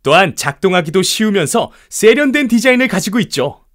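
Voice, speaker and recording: A man's voice: high voice, sing-song, a little fast, a bit bright. An actor playing a confident, ambitious young male inventor in a children's audio storybook, earnest and emphatic. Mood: proud presenting.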